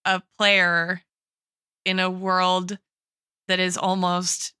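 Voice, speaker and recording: A woman's voice: lowish voice, completely flat delivery, drawn-out words, bright, forceful tone, clean tone, no echo, studio quality. A woman in a casual, analytical podcast discussion, thinking aloud as she goes and often checking in with "right?". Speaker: podcast co-host and guest expert.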